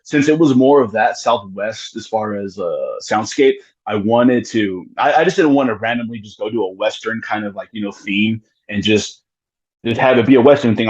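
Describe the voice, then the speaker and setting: Low husky man, lo-fi sound. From United States. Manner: rambling, frequent restarts and swearing. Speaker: musician guest. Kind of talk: casual podcast conversation.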